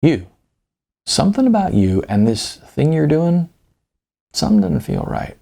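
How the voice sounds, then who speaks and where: A man's voice: a little high, big pitch swings, unhurried, creaky, mellow, voice pushed up, full character voice. A man voicing a folksy, wise older small-town businessman in audiobook dialogue, stubborn but tolerant, repeating himself hesitantly. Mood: suspicious. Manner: folksy, hesitant repetitions, ain't.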